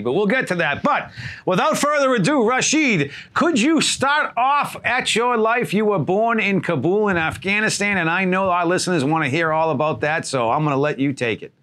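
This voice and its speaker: A man's voice: a little high, fairly bright tone. A man hosting a podcast, upbeat as he introduces his co-hosts and guest. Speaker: podcast host.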